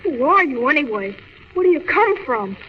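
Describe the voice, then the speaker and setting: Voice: high voice, sing-song, moderate pace. A performer playing a wide-eyed, inquisitive young boy in an earnest 1940s radio style. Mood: amazed, curious. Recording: distant, very noisy, muffled vintage radio.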